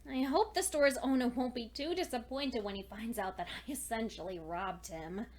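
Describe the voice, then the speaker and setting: Casting: woman to play lonely bookish female pony for dark fan fiction audio, high voice, earnest and anxious, deliberate dramatic pauses. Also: a little hiss or hum, lightly acted. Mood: businesslike, resolute. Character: lonely studious female pony, anxious diarist clinging to hope. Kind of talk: dramatic fan fiction reading, diary entries.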